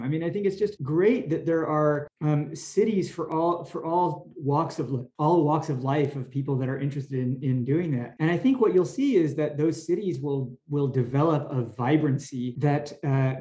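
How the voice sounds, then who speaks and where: A man's voice: on the high side, dark tone. A man speaking as a podcast guest, thoughtful and given to restarting his sentences. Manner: thoughtful, restarts and repeats words often. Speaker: podcast guest, company CEO. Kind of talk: conversational interview answers. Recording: roomy echoey room sound.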